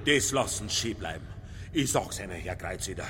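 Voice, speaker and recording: An actor playing a flustered, outraged Bavarian village priest in a radio crime drama, scandalised and threatening. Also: male voice, deep voice, animated, fairly quick, sharp tone, a little hiss or hum. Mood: outraged, alarmed. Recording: reverberant church hall, music behind.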